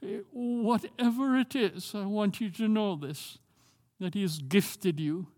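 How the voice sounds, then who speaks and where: Older male pastor, Jamaican accent, earnest sermon delivery, highish voice. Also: a little mellow, long reverb tail. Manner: earnest, emphatic, slows down to stress key phrases.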